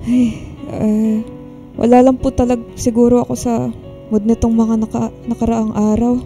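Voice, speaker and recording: A woman's voice: on the high side, little pitch movement, normal speed, warm tone, soft background music, close to an everyday voice. A woman playing a timid, polite young adult Filipino daughter in a radio drama, speaking hesitantly and evasively. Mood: meek, listless.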